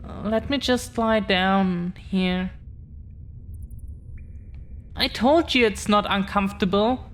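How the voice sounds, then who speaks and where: Very slow-talking, tender dragon-blooded girlfriend, acted by a woman. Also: medium pitch, close to an everyday voice. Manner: halting, earnest, affectionate. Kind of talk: intimate scripted audio roleplay.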